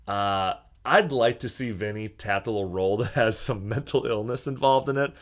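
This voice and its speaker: Male podcast host, fairly low voice, loose and joking, small mic in a slightly echoey hotel room. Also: normal speed. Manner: chatty, jokey, self-deprecating, swears casually. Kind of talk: casual solo podcast monologue. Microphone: small microphone.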